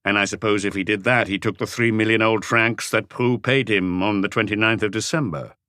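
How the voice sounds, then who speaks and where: Man playing a calm, terse police inspector, low-pitched. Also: no echo, clean studio sound. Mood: probing. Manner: measured, unhurried, laconic. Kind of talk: audiobook character voice.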